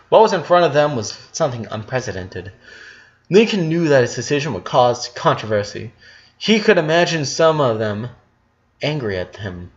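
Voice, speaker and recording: Man narrating a story, slightly muffled. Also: medium pitch. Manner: occasional stumbles and self-corrections. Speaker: narrator reading fan fiction aloud. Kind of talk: dramatic audiobook-style story narration.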